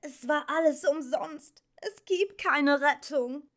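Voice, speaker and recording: A woman's voice: high-pitched, animated, measured pace, pitched above the performer's own voice. A woman voicing an earnest, impulsive young boy hero in an audiobook, urgent and intense. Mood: desperate. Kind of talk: audiobook character voice.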